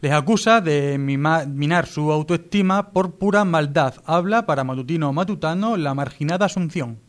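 Radio host guy reading silly fake news all mock-serious, bit of a higher voice. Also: normal speed. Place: radio studio.

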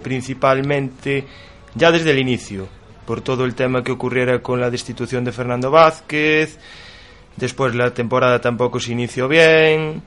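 A man's voice: mid-range voice, fairly even intonation, moderate pace, fairly bright tone. A man from Galicia, a supporters' club officer explaining earnestly as a radio interview guest. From Galicia, Spain.

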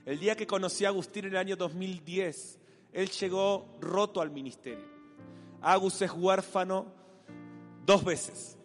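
A man with a Rioplatense Spanish accent preaching a sermon, animated and playful, acting out voices in an imagined dialogue. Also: high voice, measured pace. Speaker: pastor. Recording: long hall reverb with faint music.

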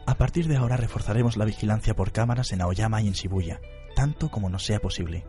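Radio drama detective, male actor: mellow, lowish voice, businesslike and watchful. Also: quick pace, faint music behind, close to an everyday voice. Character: measured, watchful male detective directing a team.

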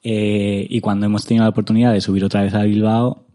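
A man's voice: on the low side, little pitch movement, fast, warm tone. A young man from Madrid, chatting casually as a guest, hesitant and full of fillers and restarts. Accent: Madrid Spanish. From Spain. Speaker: guest photographer. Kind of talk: casual interview chat.

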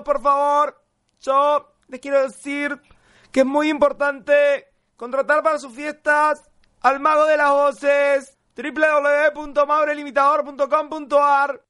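A rally speaker with a very high, forceful voice. The delivery is slow and fairly flat in pitch, as a comic impression of someone addressing a crowd. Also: male voice, exaggerated voice. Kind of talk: comic impression in a promo spot.

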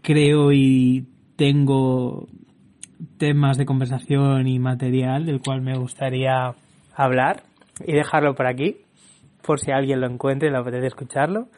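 A man's voice: mid-range voice, flat delivery, measured pace, a little mellow. A young man from Barcelona with a Barcelona Spanish accent, hosting a casual solo podcast, chatty and laughing often as he restarts his words. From Spain. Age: young adult. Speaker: podcast host.

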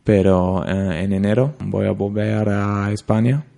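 A young man with a German accent, speaking Spanish hesitantly, pausing often to find his words. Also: on the low side, fairly even intonation, creaky, mellow. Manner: hesitant, searching for words with many ums. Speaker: interviewee, intern.

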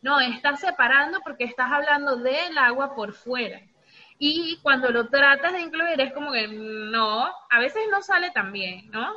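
High, bright-voiced Venezuelan woman. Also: expressive, a little slow. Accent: Venezuelan Spanish. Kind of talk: casual conversational talk. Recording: dull lo-fi audio with faint noise.

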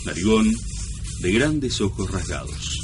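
An actor playing a pompous narrator in mock-solemn scripted narration for a comic radio drama. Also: male voice, fairly low pitch, sing-song, unhurried, music in the background. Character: pompous, easily distracted male radio-play narrator. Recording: noisy old radio broadcast.

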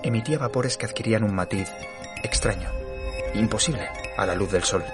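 Deep-voiced, sombre actor as a weary surveyor, music behind. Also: male voice, close to an everyday voice. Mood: haunted. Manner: measured, literary, sombre. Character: weary male surveyor narrating his eerie horror tale. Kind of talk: dramatic first-person audio drama narration.

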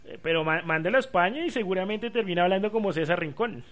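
Chatty man, high voice. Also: animated, a bit bright. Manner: chatty, laughs often, lists quickly. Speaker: sports talk host. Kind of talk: casual sports chat.

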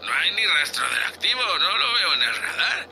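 An excitable young spaceship crewman, acted by a man in sci-fi audio fiction. The voice is fairly high and very bright, swinging playfully with cheeky chatter. Clear background noise sits behind it. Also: normal speed, heavily acted, poor audio. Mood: anxious.

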